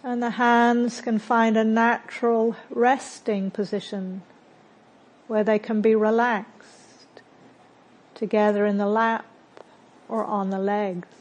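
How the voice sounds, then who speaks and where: A woman teaching meditation, guiding a body scan in a calm, gentle way with long pauses between short phrases. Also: fairly high pitch, poor audio.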